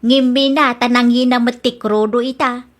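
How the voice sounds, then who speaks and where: A woman's voice: fairly high pitch, fairly even intonation, normal speed, close to an everyday voice. A woman voicing an older mother who runs a rice store, in a radio drama. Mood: worried. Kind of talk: Ilocano radio drama acting.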